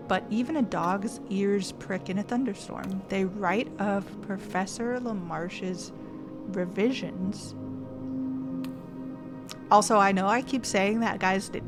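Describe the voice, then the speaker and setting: Woman gamer, unhurried, mid-pitched, reads on-screen text, game music under. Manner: chatty, thinks out loud, laughs and mulls puzzles. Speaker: gaming streamer. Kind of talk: let's play commentary, reading game text aloud.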